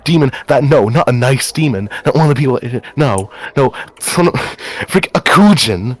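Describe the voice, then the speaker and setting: A man's voice: mid-range voice, lively intonation, moderate pace, close to an everyday voice. A bitter, agitated young man acted out by a man in an improvised game roleplay. His mid-range voice trips over repeated words, sarcastic and accusing. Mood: angry, accusing. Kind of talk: improvised in-character roleplay dialogue.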